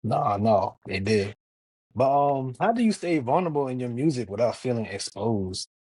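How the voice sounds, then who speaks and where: A man's voice: mid-range voice, very animated, moderate pace, darkish tone, husky, no room sound. A man hosting a casual interview podcast, talking in a laid-back, slangy, hyped-up way. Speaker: podcast host.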